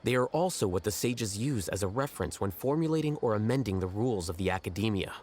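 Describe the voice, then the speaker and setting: An actor playing a blunt, aloof male scholar in a game, measured and condescending. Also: lowish voice, expressive, darkish tone, slight background noise, close to an everyday voice. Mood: cool. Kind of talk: game voice acting.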